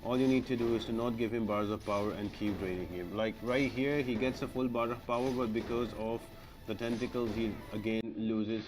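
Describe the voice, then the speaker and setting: A man's voice: on the low side, steady tone, fairly quick. A man narrating a video game walkthrough as a guide, explaining tactics step by step.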